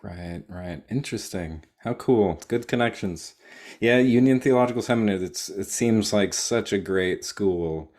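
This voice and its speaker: A man with a very deep voice hosts an interview in an easygoing way. He keeps his guest going with short nods of agreement and loose, thinking-aloud questions. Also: a little slow. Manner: relaxed, encouraging, frequent short acknowledgements. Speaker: podcast host interviewer. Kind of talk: casual interview talk.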